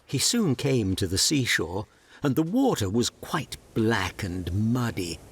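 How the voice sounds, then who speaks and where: A man's voice: on the low side, sing-song, measured pace, breathy edge. A man narrating a scripted fairy tale as a storyteller.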